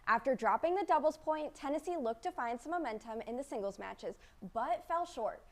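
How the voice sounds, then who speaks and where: A young woman reading sports news as a student anchor, with a crisp, upbeat delivery. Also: high-pitched, animated, moderate pace. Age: young adult. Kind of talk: read news script.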